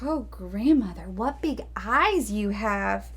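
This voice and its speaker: A woman's voice: medium pitch, expressive, slow, husky, heavily acted. A woman voicing an innocent, trusting little girl in a fairy tale, sweet and wide-eyed. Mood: wary.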